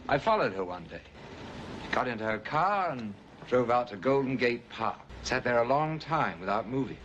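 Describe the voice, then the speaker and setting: Worried well-bred husband, 1950s film drama, measured storyteller, lively intonation, old soundtrack with slight noise. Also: male voice, medium pitch, muffled recording. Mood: troubled, urgent. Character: worried husband, polished businessman, pleading with an old friend.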